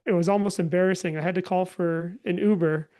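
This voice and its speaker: A man's voice: high-pitched, fairly even intonation, fast talker, darkish tone. A man chatting as a podcast guest. He talks in a light, chatty way and laughs easily. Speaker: podcast guest, event volunteer. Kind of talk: casual podcast chat.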